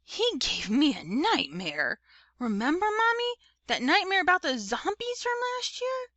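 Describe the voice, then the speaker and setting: A woman voicing a whining, argumentative second-grade boy in a dramatic, excitable manner for a storybook. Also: sits high, a little slow, dry recording, pitched above the performer's own voice, exaggerated voice. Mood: spooked.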